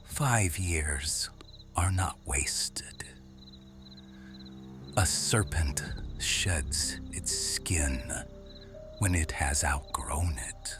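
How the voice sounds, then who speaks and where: Casting: male actor for watchful serpent shifter, ASMR roleplay. Bottomless low register, very slow half-whispered delivery. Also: music in the background, heavily acted. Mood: calm, consoling.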